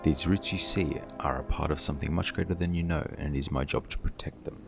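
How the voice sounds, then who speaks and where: Very deep, creaky male quest reader. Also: steady tone, fast talker. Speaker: gaming video narrator. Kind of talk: scripted dramatic reading of quest text. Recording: voice-over with game music behind.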